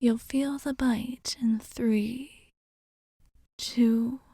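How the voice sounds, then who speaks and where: A woman playing a calm, attentive vampire bartender in an ASMR roleplay, with soft, whispered, formal and reassuring speech. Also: on the high side, creaky, slightly rough, no room sound, clean studio sound, exaggerated voice. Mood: hushed, soothing. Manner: slow, soothing, formal and reassuring.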